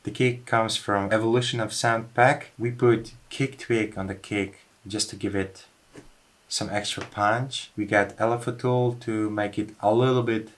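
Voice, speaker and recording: A man's voice: lowish voice, steady tone, measured pace. A man music producer casually walking through how a track was made. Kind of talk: casual studio walkthrough.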